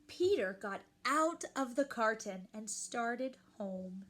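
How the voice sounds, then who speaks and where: A woman reading a picture book aloud to young children, expressively and with questions to the listeners. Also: on the high side. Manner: animated, slow and deliberate, addressing young children.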